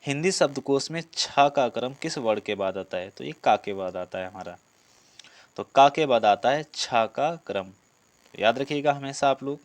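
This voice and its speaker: A man speaks as a teacher in a dry recording with little room sound. His voice sits at a medium pitch. He reads out questions, explains the answers and repeats key terms for his students. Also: lively intonation, normal speed, dry recording. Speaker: Hindi grammar teacher for police exam students. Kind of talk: exam-prep lesson, reading questions and explaining answers.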